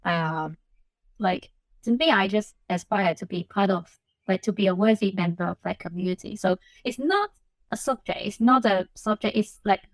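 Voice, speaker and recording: A woman from Hong Kong talks as a podcast guest, thinking aloud and filling pauses with "like" and "um." Her recording is dry and close. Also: mid-range voice, no echo, low-quality recording. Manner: reflective, frequent "like" and "um" fillers. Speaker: assistant professor, podcast guest. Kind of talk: conversational interview answers.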